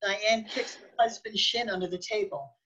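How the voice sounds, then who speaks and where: A woman narrates a radio drama from a script, setting out each scene. Her mid-pitched voice is bright and sharp with a husky grain. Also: steady tone, normal speed, lo-fi sound.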